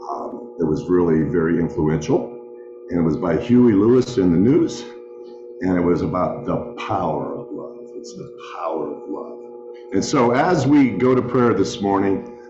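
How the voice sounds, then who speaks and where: Deep-voiced older man speaking reflectively. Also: echoey room, dull audio. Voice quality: big voice. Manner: unhurried and reflective, with filler pauses. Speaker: past club president giving the invocation. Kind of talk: informal reflection followed by a prayer. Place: club luncheon meeting room.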